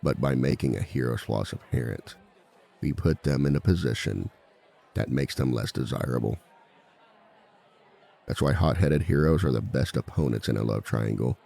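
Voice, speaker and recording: A man playing a reformed villain who lectures at a seminar on romance in a measured, articulate, formal and dryly self-assured way. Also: extremely low pitch, creaky, dark tone, breathy edge, exaggerated voice. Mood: dry, confident. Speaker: seminar speaker and relationship expert. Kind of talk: scripted comedic lecture roleplay.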